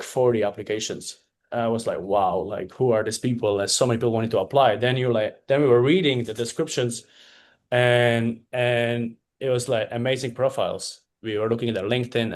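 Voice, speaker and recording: A man presenting on a webinar as a community founder, talking with frequent hesitations and restarts. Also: mid-range voice.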